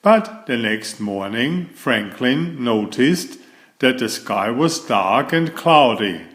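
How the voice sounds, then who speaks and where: Slow, lively male storybook reader. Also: mid-range voice. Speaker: narrator reading a children's picture book. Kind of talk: read-aloud storybook narration.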